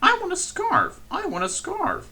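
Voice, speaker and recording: Man doing Percy, a squeaky cheeky engine. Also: wildly swooping pitch, slow pace, pushed and bright, slight background noise, full character voice. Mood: cheeky whining. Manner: high, pleading and cheeky. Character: cheeky little male tank engine, whiny.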